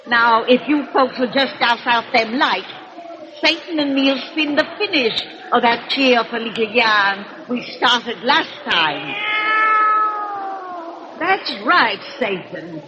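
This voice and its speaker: A woman's voice: a little high, lively intonation, slow, noisy background. An actress playing a gleefully macabre, cackling witch of a hundred and eleven, telling horror tales in rustic folksy speech on old-time radio. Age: 111, very old. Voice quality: cracked, cackling old crone voice. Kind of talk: 1930s radio horror storytelling. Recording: muffled old radio recording.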